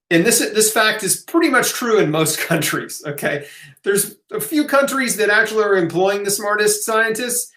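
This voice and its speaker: Higher-pitched man hosting in an echoey room. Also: a bit bright. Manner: conversational, emphatic asides, rhetorical okays. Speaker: host of a live health Q&A. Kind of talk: casual live Q&A, answering viewer questions off the cuff. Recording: roomy, echoing room sound.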